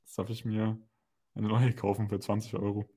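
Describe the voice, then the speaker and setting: A man's voice: fairly low pitch, level tone, moderate pace, warm tone. A young man co-hosting a podcast, chatting casually in an informal, slangy way. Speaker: podcast co-host. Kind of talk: casual chat.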